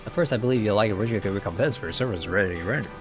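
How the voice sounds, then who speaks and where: Man, low pitch, game streamer, dramatised halting read of cutscene dialogue, music behind. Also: animated.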